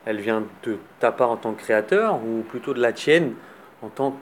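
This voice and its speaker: Mid-pitched man asking chatty questions. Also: animated, moderate pace, a little hiss or hum. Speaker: interviewer. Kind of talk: podcast interview.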